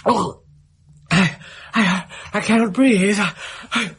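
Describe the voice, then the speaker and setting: An actor playing a feeble, injured gentleman in a radio drama, breathless, strained and dramatically panicked. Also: high voice, slow, creaky, slightly rough, full character voice. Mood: feeble, gasping.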